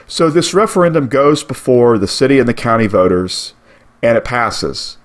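A man's voice: mid-range voice, normal speed. A man in his forties hosting a local history show, talking casually over old photos and often checking in with "okay?". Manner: conversational, lively, asks okay? often. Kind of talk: narrated slideshow history talk.

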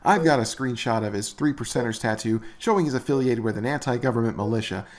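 A man's voice: mid-range voice, fast talker. A man hosting a comedic commentary video, sarcastic and exasperated as he rants. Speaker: host.

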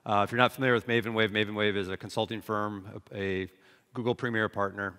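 Low-voiced American man presenting in a hall. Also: fairly even intonation, fast, a bit bright, clean tone. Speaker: consultant presenting at a conference. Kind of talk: conference presentation. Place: large conference hall stage, indoors. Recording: reverberant hall, stage PA.

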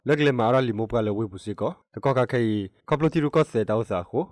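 A man's voice: mid-range voice, racing, a little mellow, no echo, clean studio sound. A man presenting radio news, reading in a newsreader's style.

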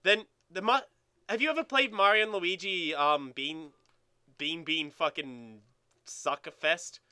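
A man's voice: a little high, measured pace, bright, forceful tone, clean tone, no echo. A young man giving casual gaming commentary, rambling and swearing as he banters. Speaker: let's play co-host.

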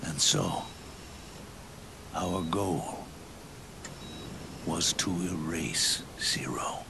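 An actor playing an aging, weary, scarred old soldier, grave and reflective, with a deep, gravelly, breathy growl and a slow, halting, solemn delivery in a video game cutscene. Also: male voice, noisy background, full character voice. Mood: solemn, philosophical. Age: older. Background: orchestral music.

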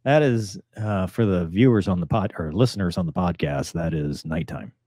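An American man in his forties hosts a casual podcast, teasing and joking as he comments. His voice is on the low side. The sound is dry, from a studio mic up close. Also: normal speed, warm tone, dry recording. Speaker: podcast host, military pilot. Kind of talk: casual banter, commenting on a clip. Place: small home studio room. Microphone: studio microphone on boom arm, headphones.

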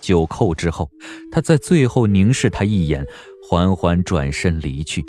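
A man narrating a period audio drama in a measured, literary storytelling style. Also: low voice, sing-song, darkish tone.

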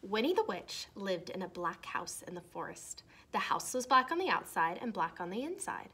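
A young woman reads a children's picture book aloud in an expressive, deliberate way for young listeners. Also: medium pitch, husky. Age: young adult. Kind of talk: storybook read-aloud. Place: small decorated room indoors.

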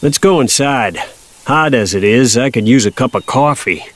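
A man's voice: medium pitch, animated, moderate pace, close to an everyday voice. An actor playing an older Kansas farmer father, plainspoken, folksy and patiently wry, in an audio drama. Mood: reminiscing.

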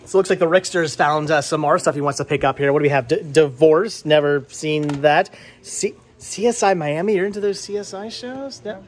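A man's voice: on the high side, fairly quick. A man in his thirties hosting a casual vlog, chatting to the camera and often repeating his words as he stammers along. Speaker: vlog host. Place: small cluttered movie and game store. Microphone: handheld camera at arm's length.

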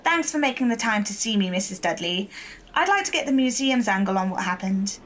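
A woman's voice: mid-range voice, sing-song, fairly quick, slight background noise, lightly acted. A woman acting as a diligent young local newspaper reporter in a naturalistic audio drama, polite and inquisitive. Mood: politely probing.

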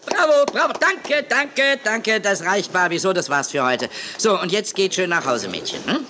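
An actor playing an excitable, brisk TV boss in a children's audio drama, effusive and dismissive, repeating his words. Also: high voice, background noise. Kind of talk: 1980s German children's radio play acting.

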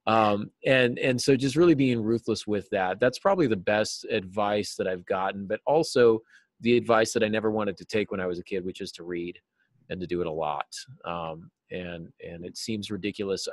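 A middle-aged man with a fairly low voice talks as a guest in a casual interview. He explains his craft through examples, a little self-deprecating. The sound is dry and close, with little room in it. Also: no room sound. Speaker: interview guest, novelist and writing teacher. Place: small cluttered office, indoors. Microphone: video call, seated at a desk.

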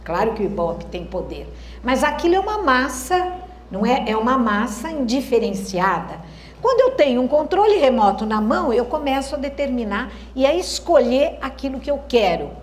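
A woman's voice: high-pitched, big pitch swings, normal speed, roomy sound, a little hiss or hum. A woman with a Brazilian Portuguese accent giving a university lecture, thoughtful and searching for words, often asking the audience rhetorical questions. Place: lecture hall.

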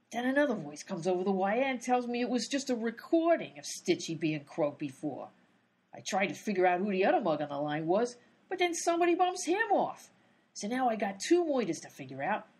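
A woman's voice: medium pitch, a little mellow, breathy edge. A woman playing a brash, hot-tempered female private eye who wisecracks in 1930s gangster slang, in old-time radio comedy style. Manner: wisecracking 1930s gangster talk, dropped grammar.

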